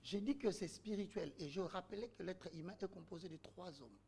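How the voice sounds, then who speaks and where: Emphatic man with gravelly voice. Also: high-pitched, a little fast, dark tone, a little hiss or hum. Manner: emphatic, oratorical, rhetorical questions. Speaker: debate guest. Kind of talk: heated panel debate.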